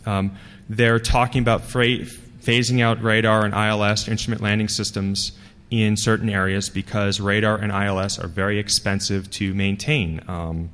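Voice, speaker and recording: A man giving an informal technical talk as a conference speaker, with a halting, rambling, conversational delivery. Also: lowish voice, little pitch movement, fairly bright tone. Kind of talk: informal technical presentation to an audience. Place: large conference hall, speaking to a live audience. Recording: reverberant hall sound.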